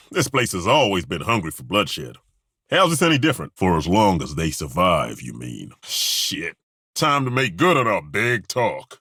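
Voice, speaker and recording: A man's voice: low voice, a bit bright, breathy edge, no echo, full character voice. An actor playing a weary middle-aged male mercenary and former arena fighter, talking in a dry, sardonic, laid-back way. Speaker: companion character. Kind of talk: video game voice lines. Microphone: close studio mic.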